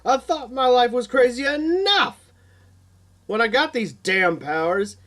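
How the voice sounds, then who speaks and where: A man's voice: very high-pitched, animated, unhurried, sharp tone, pitched above the performer's own voice, exaggerated voice. A man voicing a hot-headed young college student with superpowers in an audiobook, exclamatory and easily frustrated. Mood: frustrated, incredulous.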